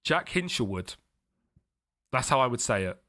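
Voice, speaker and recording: A young man streaming a football management game live, chatting with viewers and thinking his tactics through out loud. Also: medium pitch, very animated, normal speed. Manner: chatty, thinks aloud, reads out chat messages.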